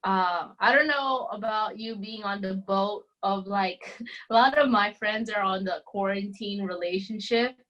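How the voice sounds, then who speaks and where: A woman's voice: medium pitch, a little slow, a bit bright, highs cut off. A woman hosting a podcast, chatting casually and hesitantly, with frequent fillers and restarts. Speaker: podcast host. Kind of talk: casual chat.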